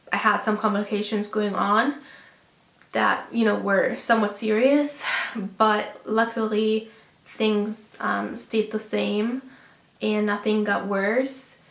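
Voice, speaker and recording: A young woman with a raspy voice from a lingering cold, chatting casually to camera in a pregnancy update, with lots of ums and restarts. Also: mid-range voice, a bit bright. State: hoarse, getting over a cold. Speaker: vlogger sharing a pregnancy update. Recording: echoey room.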